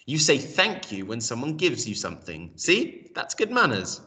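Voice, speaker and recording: A man voicing a kindly old wife in a storybook, motherly and brisk, scolding and cooing in exclamations. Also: mid-range voice, wildly swooping pitch, sharp tone, far from the mic.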